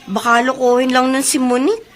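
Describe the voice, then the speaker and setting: An actress playing a suspicious, sulky young best friend who speaks respectfully, in a Filipino radio drama. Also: female voice, high voice, steady tone, fairly quick, soft background music, natural voice. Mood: distrustful, complaining.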